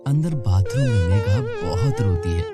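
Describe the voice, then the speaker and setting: A woman's voice: rumbling low, expressive, normal speed, music in the background. A woman narrating a scripted children's moral story as the storyteller.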